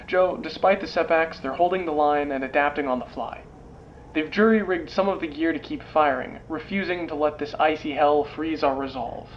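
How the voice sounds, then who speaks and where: A man's voice: on the high side, lo-fi sound, a little hiss or hum, natural voice. A man playing an earnest war correspondent giving dramatic field reports in a sci-fi radio play. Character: earnest, gritty male war correspondent at frozen front.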